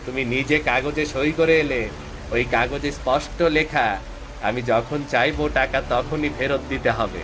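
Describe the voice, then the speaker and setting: A man's voice: medium pitch, fairly even intonation, normal speed, background noise, natural voice. A man playing a greedy, scheming, bullying moneylender in a cartoon, with a mocking, gloating manner. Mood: mocking, threatening. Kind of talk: Bengali cartoon voice acting. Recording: music behind the voice.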